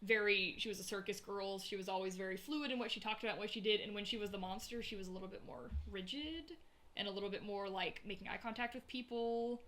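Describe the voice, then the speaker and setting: Bright-voiced Southern woman, chatty. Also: mid-range voice, fast. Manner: chatty, many "like" fillers, animated storytelling. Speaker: podcast co-host. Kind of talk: casual chat.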